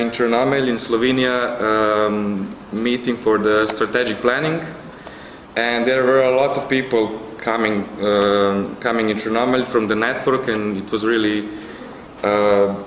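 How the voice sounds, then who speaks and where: A man shares a casual story in a halting way. His voice is medium in pitch, with room echo and faint noise behind it. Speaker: youth network member. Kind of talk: casual anecdote.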